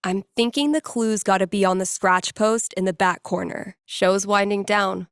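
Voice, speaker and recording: A woman's voice: mid-range voice, steady tone, moderate pace, clear, resonant tone, no room sound, lightly acted. An actress playing a wry, playful young woman DJ in a video game, musing to herself in a dry, conversational way. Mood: puzzling it out. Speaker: video game character, radio DJ and record store worker. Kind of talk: video game voice lines, casual inner monologue.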